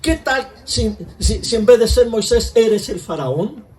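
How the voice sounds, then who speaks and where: High-voiced pastor preaching from afar. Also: male voice, animated, unhurried, darkish tone, distant mic. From Chile. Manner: conversational preaching, asks rhetorical questions. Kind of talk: sermon.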